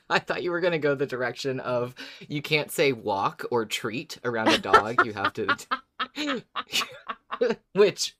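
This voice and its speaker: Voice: mid-range voice, sing-song, unhurried, long pauses, a bit bright. Musing podcast co-host with a mid-range voice. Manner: musing, thinking aloud. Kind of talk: casual chat about a story.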